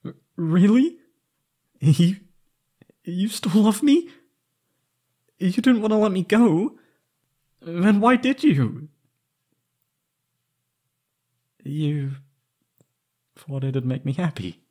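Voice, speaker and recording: Man acting an anguished lovesick young man, swooping pitch. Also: expressive, mellow, breathy edge, full character voice. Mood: pleading, confessing. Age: young adult. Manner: emotional, halting, pleading. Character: anguished, lovesick young man, former captive confessing love. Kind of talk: intimate roleplay audio acting.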